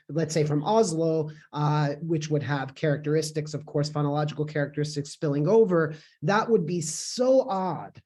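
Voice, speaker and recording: A man's voice: fairly high pitch, mellow. A man, a co-author, joining remotely to give a long, fluent academic answer in a Q&A. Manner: fluent and explanatory, with filler restarts.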